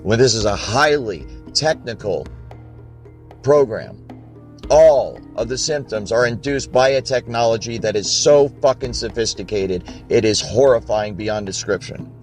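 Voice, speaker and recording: A man's voice: mid-range voice, expressive, unhurried, faint music behind. A man speaking in an urgent, impassioned rant, swearing for emphasis.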